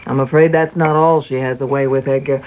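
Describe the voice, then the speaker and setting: A woman playing a doting, snobbish older mother in a radio play, genteel and fussing in a deliberate way. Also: extremely low pitch, fairly even intonation, a little mellow, a little hiss or hum, poor audio. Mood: disapproving, haughty.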